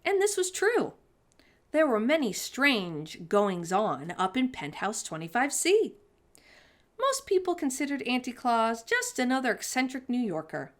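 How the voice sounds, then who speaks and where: A woman's voice: a little high, sing-song, unhurried. A woman hosting a children's story time, reading a storybook and addressing young listeners directly with exclamations.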